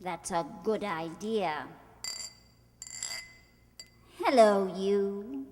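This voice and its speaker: Radio play role: actress as tipsy teasing society woman, slow swooping delivery, reverberant hall. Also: medium pitch, animated, a little mellow, a little hiss or hum. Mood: tipsy, distracted. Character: tipsy, playful society bride-to-be. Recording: echoey hall, long reverb.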